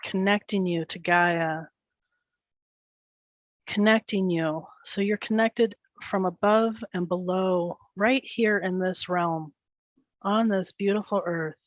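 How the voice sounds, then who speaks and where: A woman leading a guided meditation, speaking gently and soothingly in short phrases with pauses between them. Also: on the low side, dry recording, dull audio.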